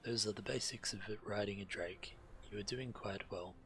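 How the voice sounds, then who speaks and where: A man streaming a game, reading quest text aloud in a measured, deliberate way while he plays. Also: fairly low pitch, a bit bright. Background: fantasy game music.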